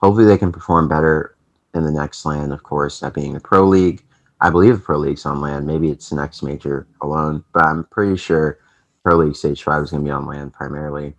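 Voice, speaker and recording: A man's voice: extremely low pitch, steady tone, fairly quick. A young man hosting a casual esports recap vlog, chatty and rambling with lots of fillers, his voice a little off from allergies. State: voice affected by allergies. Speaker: esports channel host.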